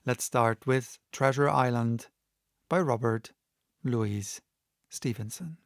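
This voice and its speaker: A man presents and reads an audiobook at a slow, deliberate pace. His voice sits slightly high for a man and has a noticeable creak, recorded close and dry. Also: no room sound. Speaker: audiobook reader and channel host. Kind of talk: casual introduction and expressive audiobook narration.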